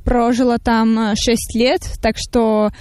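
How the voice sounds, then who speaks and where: A teenage girl with a fairly high voice answers questions on a radio program. She pauses to think and uses small fillers. The sound is close and dry. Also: level tone, a little mellow, no echo. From Russia. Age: teens. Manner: thoughtful, hesitant with fillers. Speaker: interviewee, young jury member.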